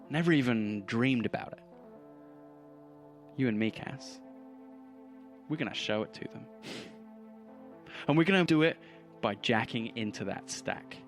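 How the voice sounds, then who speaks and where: A man's voice: medium pitch, fairly bright tone. A man voicing a fervent, drugged-up pirate radio professor in a cyberpunk role-play, speaking in rhetorical speeches over music. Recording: music behind the voice.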